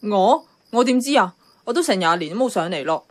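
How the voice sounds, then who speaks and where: Woman playing a mid-pitched, caring Hong Kong niece. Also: lively intonation, fairly quick, natural voice. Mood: casual. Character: caring, nostalgic niece narrating family story. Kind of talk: radio drama narration and dialogue. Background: music.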